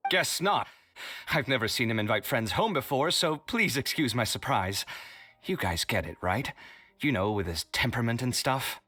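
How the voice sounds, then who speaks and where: Dramatic young dude in a video game, fussy n exasperated, really animated bright voice, music behind. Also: male voice, mid-range voice, moderate pace, slightly rough. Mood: apologetic. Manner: theatrical, fussy. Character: dramatic, flustered, easily exasperated young man. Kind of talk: English video game character acting.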